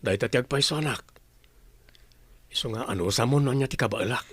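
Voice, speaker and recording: A man playing a gentle, struggling father in a radio drama, hesitant and placating. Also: mid-range voice, fast, husky, dry recording. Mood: weary, gentle. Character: struggling, gentle, resigned father of a young child. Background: music.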